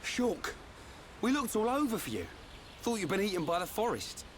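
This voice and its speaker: An actor with a British accent playing a hearty, blunt young friend of the hero in a video game. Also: male voice, high voice, very animated, moderate pace, slight background noise. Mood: relieved.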